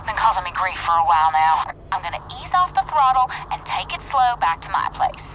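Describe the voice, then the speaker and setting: A woman's voice: medium pitch, big pitch swings, fairly quick. A woman voicing a friendly, chatty female trucker who talks over a game radio. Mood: easygoing. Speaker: game character. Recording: muffled game radio over loud noise.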